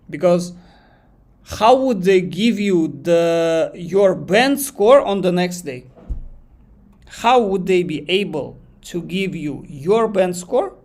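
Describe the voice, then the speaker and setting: Kazakh-accented male tutor, a touch high-pitched, unscripted live class, loose and repetitive phrasing. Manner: thinks aloud, repeats phrases, reads chat questions aloud. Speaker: English exam teacher. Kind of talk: live online lesson.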